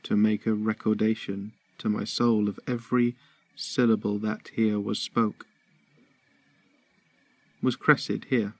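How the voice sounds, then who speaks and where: An actor playing a heartbroken, disbelieving young Trojan prince, halting and declamatory in Shakespearean verse. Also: male voice, lowish voice, little pitch movement, no room sound. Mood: stunned disbelief. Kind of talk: theatrical Shakespearean verse acting.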